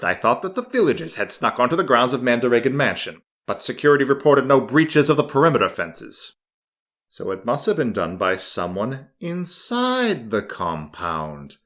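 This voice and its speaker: A man's voice: medium pitch, a bit bright. A man voicing a pompous, irritable mad scientist in an audiobook monologue, leaving an exasperated, conversational phone message. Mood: bemused, irritated. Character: fussy, irritable mad scientist doctor, a self-absorbed customer. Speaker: audiobook narrator.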